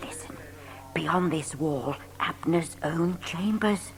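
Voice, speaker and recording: A woman's voice: low-pitched, takes it slowly, over music. Woman acting furtive, timid little guide. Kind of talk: audio drama acting.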